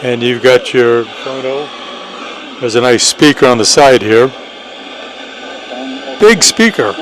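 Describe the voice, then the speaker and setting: A man's voice: mid-range voice, slow, fairly bright tone. A man giving a casual, enthusiastic product demonstration in short plain phrases, as an amateur radio operator. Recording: noisy, radio static and hum.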